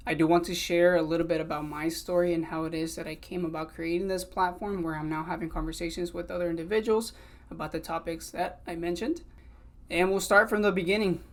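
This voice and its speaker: A man's voice: on the high side, flat delivery, fairly quick. A man in his twenties from California, also raised in Mexico, hosting a solo podcast episode in an earnest, conversational way and switching between English and Spanish. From Sacramento, California, also raised in Mexico. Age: 20s. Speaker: podcast host.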